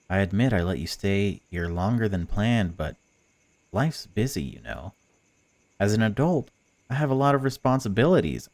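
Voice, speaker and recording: A man's voice: deep voice, darkish tone, full character voice. A man voicing a female stalker in a visual novel, a chatty, patronising captor who pretends to care. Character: cold, mocking female stalker, falsely caring captor. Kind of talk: visual novel dialogue read aloud.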